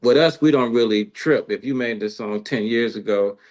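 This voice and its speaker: A man's voice: mid-range voice, normal speed, darkish tone. A man hosting an interview in an informal, slangy, conversational way. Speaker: radio interview host. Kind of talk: casual interview chat.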